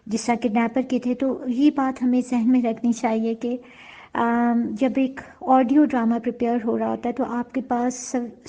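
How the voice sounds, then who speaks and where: A woman's voice: a little high, mellow. A woman hosting a casual interview chat, mixing English phrases into her speech. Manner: mixes Urdu with English phrases. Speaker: interview host and audio drama producer.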